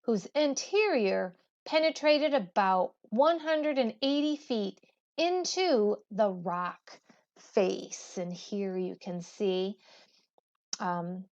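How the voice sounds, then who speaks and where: A woman teacher reading a history textbook aloud in a measured, deliberate way. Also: mid-range voice, darkish tone, highs cut off. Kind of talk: educational read-aloud of a history textbook with brief explanatory asides.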